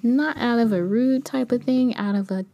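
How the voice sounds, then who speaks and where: A young woman with a light, soft voice talking casually in a rambling, candid personal podcast monologue. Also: fairly high pitch, normal speed, gravelly, dull audio, slight background noise. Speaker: podcast host; kindergarten teacher.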